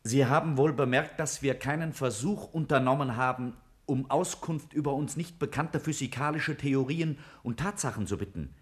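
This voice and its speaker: A man's voice: medium pitch, moderate pace, hardly any pauses, slight background noise, natural voice. Man playing a courteous, articulate scientist. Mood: polite, earnest. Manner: formal, reasoned, polite. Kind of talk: 1950s German radio play acting.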